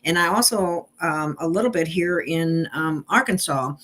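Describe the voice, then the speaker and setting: A woman interviewer chatting casually, often halting between phrases with pauses and ums. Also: low voice, fairly bright tone. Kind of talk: casual conversation.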